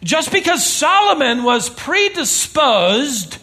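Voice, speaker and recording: Bright, high-voiced man preaching emphatically. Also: big pitch swings, takes it slowly. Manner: emphatic, repeats words for stress; rises in pitch and volume on key points, drops low and quiet on lists. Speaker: pastor. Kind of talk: sermon. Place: church sanctuary.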